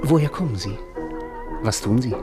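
Music runs behind a male actor playing a young gallant in a radio drama. His delivery is very lively and varied. He is an eager, charmed suitor, polite and amused as he flatters. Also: medium pitch, moderate pace, close to an everyday voice. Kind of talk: German radio play acting.

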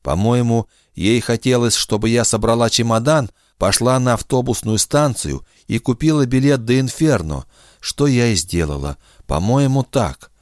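A man's voice: medium pitch, moderate pace, dry recording, studio quality, voice pushed up, close to an everyday voice. A man voicing an earnest, pleading young woman, lifting his voice a little.

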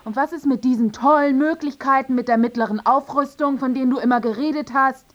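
A woman's voice: sits high, a little fast, highs cut off, close to an everyday voice. A woman playing a practical, exasperated wife in a radio drama, blunt and reproachful, pressing with pointed questions. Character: nagging, practical, exasperated wife of unemployed man. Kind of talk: naturalistic radio drama acting.

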